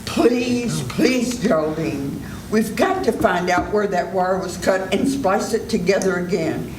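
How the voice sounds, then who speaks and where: An older woman reading the part of a calm, commanding doctor in a live old-time radio play. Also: mid-range voice, a little slow, noisy background, lightly acted. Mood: steady. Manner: firm, reassuring, commanding. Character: calm, take-charge country doctor. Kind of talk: 1940s radio drama reading. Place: senior center event room. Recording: echoey room with loud music.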